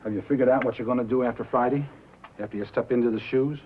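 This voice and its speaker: Male actor as offhand, wisecracking radio staffer, rapid delivery, dull vintage film sound. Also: medium pitch, fairly even intonation, slight background noise, natural voice. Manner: matter-of-fact, wisecracking. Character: cynical, pragmatic radio colleague, brisk and offhand. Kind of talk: 1950s film drama dialogue.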